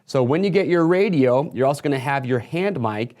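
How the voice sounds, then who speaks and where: Male product presenter, medium pitch, casual and explanatory, walking viewers through gear for a retailer video. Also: lively intonation, fairly quick, a little mellow. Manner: casual, explanatory sales patter.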